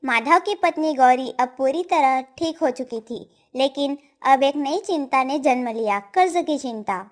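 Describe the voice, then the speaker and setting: A woman narrates a moral tale in a high voice. The delivery is scripted storytelling, like a storybook read aloud. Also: measured pace.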